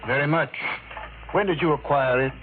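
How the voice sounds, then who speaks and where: An actor playing a formal, grave police detective in an old-time radio mystery, speaking in a measured, official way. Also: male voice, a little high, close to an everyday voice. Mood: grave authority. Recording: tinny vintage radio recording.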